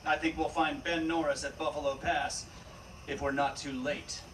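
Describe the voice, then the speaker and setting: A man playing a steady, resolute Old West federal marshal in a Western radio drama. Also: fairly high pitch, normal speed, natural voice. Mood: deductive. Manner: confident, commanding. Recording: distant stage microphone, noisy room.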